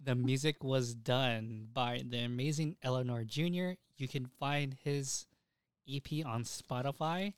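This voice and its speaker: A young man with a smooth, clean voice hosts a casual podcast. He speaks at a middling pitch in informal, slangy phrases, recorded close and dry. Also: unhurried, no room sound. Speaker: podcast host.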